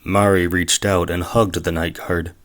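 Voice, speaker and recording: A man acting as a calm storyteller, reading scripted third-person narration for an audio drama. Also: low-pitched, unhurried.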